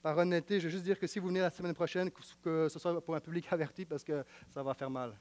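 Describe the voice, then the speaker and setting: A man preaching with a Quebec French accent, his voice sitting high. He hammers home repeated phrases. He swings from loud proclamation to hushed, intimate asides. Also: fairly even intonation, fast. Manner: emphatic, repetitive, swings between loud declaiming and quiet intimacy. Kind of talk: sermon.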